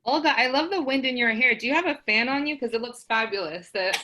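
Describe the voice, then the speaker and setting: A woman's voice: fairly high pitch, quick pace, noisy background. A woman moderating a video call panel, gushing and playful with the guests.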